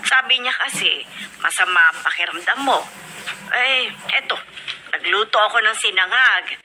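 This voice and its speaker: An actress playing a plain-spoken, dutiful household servant chatting matter-of-factly in a Filipino radio drama. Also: female voice, mid-range voice, normal speed, a little hiss or hum, rough recording. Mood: chatty, dutiful. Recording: radio drama over loud music.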